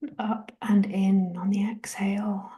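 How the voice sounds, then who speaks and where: A woman leading a guided meditation in a soft, gentle, breathy half-whisper, giving soothing body scan instructions. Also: medium pitch, little pitch movement, measured pace. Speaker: guided relaxation and meditation host.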